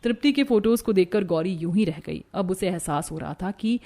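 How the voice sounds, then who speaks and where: A woman's voice: mid-range voice, moderate pace, mellow. A woman narrator reading a scripted family story.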